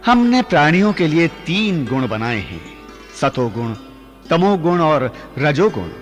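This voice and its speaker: A man's voice: on the high side, very animated, takes it slowly. An actor playing a benevolent, fatherly creator god who instructs with authority in declamatory radio drama style. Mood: instructive. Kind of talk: mythological radio drama acting. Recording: studio radio play with music behind.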